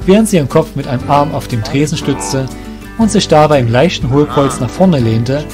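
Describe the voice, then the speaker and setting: A man's voice: on the high side, expressive, moderate pace, music in the background. A man reading scripted narration for a fantasy audio drama.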